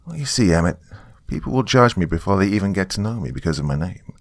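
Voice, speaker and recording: Man voicing a shy, sad boy, creaky. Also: low-pitched, expressive, fast, no room sound. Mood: resigned.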